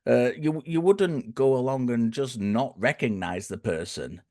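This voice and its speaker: Hoarse man, reasoning aloud, mid-range voice, no room sound. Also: mid-range voice, expressive, unhurried, warm tone, no echo, studio quality. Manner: thoughtful, restarts phrases. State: hoarse, croaky. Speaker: guest speaker. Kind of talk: conversational explanation.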